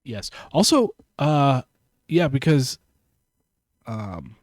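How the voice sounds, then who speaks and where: A man's voice: medium pitch, sing-song, very slow, no room sound, studio quality. A man co-hosting a podcast, easygoing and enthusiastic in casual chat. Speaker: podcast co-host.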